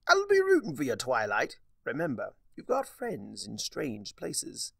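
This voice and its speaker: A man's voice: mid-range voice, huge pitch swings, measured pace, gravelly, fairly bright tone, slightly rough, no room sound, exaggerated voice. A man voicing a mischievous male chaos spirit in a storybook reading, with a theatrical, teasing drawl. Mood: playful.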